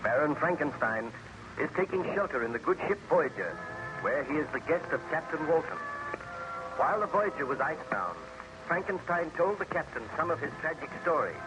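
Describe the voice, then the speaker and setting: Loud music plays under a male announcer with a fairly high voice. He reads a scripted recap formally and dramatically, in the manner of 1930s radio. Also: big pitch swings, normal speed, poor audio.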